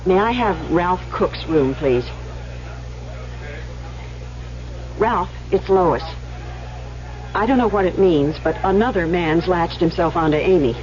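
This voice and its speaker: An actress playing a sharp, protective young woman in an old-time radio drama, brisk and wry. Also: lowish voice, natural voice. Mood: conspiratorial. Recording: dull old radio recording.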